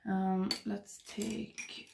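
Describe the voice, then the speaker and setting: A woman in her thirties with a Swedish accent, chatting and murmuring softly to the camera while doing her makeup at home. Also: medium pitch, flat delivery, drawn-out words, creaky, noisy background. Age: 30s. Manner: soft, rambling, murmuring while applying makeup. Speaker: beauty vlogger. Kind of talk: casual get-ready-with-me chat. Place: home makeup room, indoors. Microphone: webcam framing at desk.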